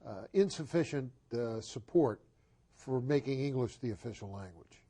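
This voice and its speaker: Older man hosting, mellow tone. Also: medium pitch, lively intonation, moderate pace. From Boston. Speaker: talk show host. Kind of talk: studio interview.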